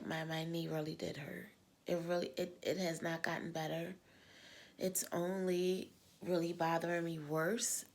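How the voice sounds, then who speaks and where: Middle-aged woman vlogger, low and gravelly, husky with a cold, rambling and repetitive. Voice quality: husky smoker's-like voice. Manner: rambling, repeats words, sighs often. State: coming down with a cold. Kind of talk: casual personal vlog talk.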